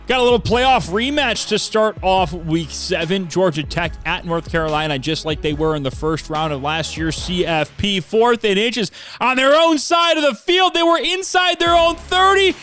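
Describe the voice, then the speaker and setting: An excitable young man calls the highlights play by play. His voice sits slightly high, and he throws loud emphasis behind each big play. Light music plays underneath. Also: normal speed. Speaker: commentator. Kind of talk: video game play-by-play commentary.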